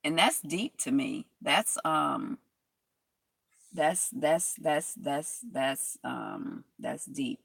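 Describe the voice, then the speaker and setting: A woman vlogger reads from a text and drifts into reflective, rambling asides. Her voice is gravelly and bright. It sits fairly low for a woman, in a dry, close-sounding space. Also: animated, drawn-out words, dry recording. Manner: rambling, reflective, with many fillers and restarts. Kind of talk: reading from a history text and commenting aloud.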